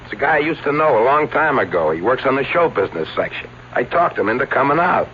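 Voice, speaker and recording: An actor playing a skeptical, exasperated publicity manager with a wry, nagging way of talking, in a vintage radio drama. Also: male voice, mid-range voice, very animated, fast, close to an everyday voice. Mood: persuasive, pleased. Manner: wry, nagging, plain-spoken. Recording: dull, noisy old radio drama recording.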